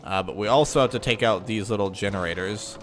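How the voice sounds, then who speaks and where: A man's voice: lowish voice, quick pace, a bit bright, soft background music. A man with a slightly nasal, congested voice giving a chatty, rambling walkthrough of a video game. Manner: chatty, rambling, with frequent ums and self-corrections. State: mild sinus congestion. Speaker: gaming channel host. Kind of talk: casual gameplay commentary.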